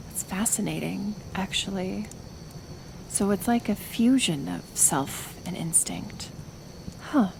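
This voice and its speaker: A woman acting a kind but stubborn reclusive healer in an ASMR roleplay, gentle yet firm, unhurried, with sighs and pauses. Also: mid-range voice, gravelly, background noise. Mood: curious, softer.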